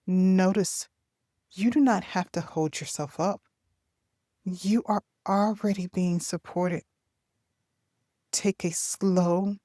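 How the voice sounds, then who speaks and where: Female meditation narrator, mellow and dark in tone, gentle and unhurried, closely recorded in a dry space. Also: lowish voice, no room sound. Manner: gentle, unhurried, deliberate phrasing with long pauses.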